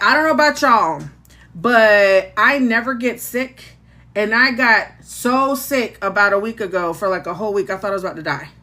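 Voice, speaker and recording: A woman's voice: fairly high pitch, pushed and bright. A woman with a country accent hosting a casual tarot livestream, chatting with her viewers. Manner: chatty, talks to her chat. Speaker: tarot reader livestream host. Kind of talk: casual live chat.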